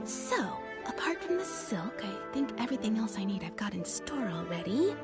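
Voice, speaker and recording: An actress playing an eager, polite and helpful shopkeeper in a fantasy video game. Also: female voice, mid-range voice, very animated, moderate pace. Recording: game music behind the voice.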